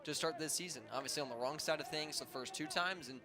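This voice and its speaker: Male baseball analyst over clear stadium noise. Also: a little high, fast. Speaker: baseball color commentator. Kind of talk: conversational live sports analysis. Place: ballpark, live broadcast. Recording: noisy outdoor stadium ambience.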